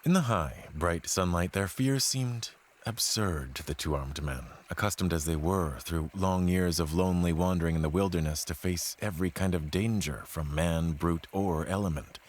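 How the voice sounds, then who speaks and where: A man gives a measured, solemn, literary narration of a scripted horror tale. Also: extremely low pitch. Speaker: storyteller narrating an audio play. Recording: music behind the voice. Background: background music.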